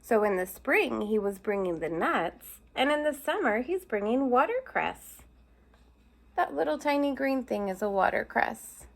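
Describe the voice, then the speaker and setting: A woman's voice: fairly high pitch, sing-song, unhurried, creaky. A woman teacher reading a picture book aloud to young children, stopping to point out and explain the pictures. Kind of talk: storybook read-aloud for children.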